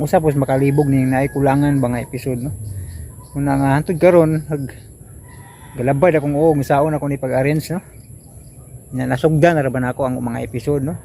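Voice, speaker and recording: A man's voice: mid-range voice, creaky, dark tone, slight background noise. A man with a Cebuano accent hosting casually, rambling to listeners and often ending phrases with a questioning tag. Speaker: host introducing archived radio dramas.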